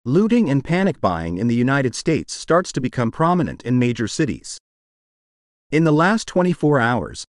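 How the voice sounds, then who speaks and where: A man's voice: medium pitch, dry recording, clean studio sound. A man narrating a scripted news report.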